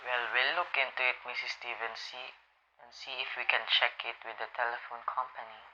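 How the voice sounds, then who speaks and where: A man's voice: medium pitch, steady tone, normal speed, sharp tone. A young man playing an unconcerned, patronizing police sergeant in an amateur radio drama, dismissive and placating. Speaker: student voice actor in a radio play. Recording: dull lo-fi sound, faint noise.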